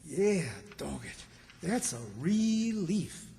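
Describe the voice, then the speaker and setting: An actor plays a good-natured, slow-witted country man in a radio comedy. His voice sits fairly high and swings up and down at an unhurried pace, ringing in a reverberant hall. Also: expressive, mellow, heavily acted. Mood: folksy. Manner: folksy, slow-witted, earnest. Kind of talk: old-time radio comedy acting. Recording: reverberant hall stage recording.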